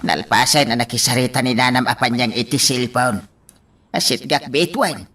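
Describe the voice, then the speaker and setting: A man's voice: mid-range voice, moderate pace, sharp tone, far from the mic, slight background noise, close to an everyday voice. A man acting in an Ilocano radio drama as an agitated, exclaiming man who grumbles about his enemies. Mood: grumbling. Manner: exclamatory, repeats a pet interjection.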